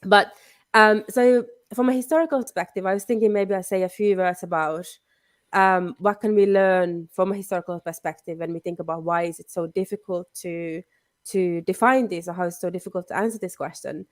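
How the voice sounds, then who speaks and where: A woman's voice: on the low side, steady tone, a little fast, no room sound. A woman with a cold and a nasal voice, speaking as a panelist over a video call and thinking aloud in a conversational way. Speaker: panelist, legal historian. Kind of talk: panel discussion.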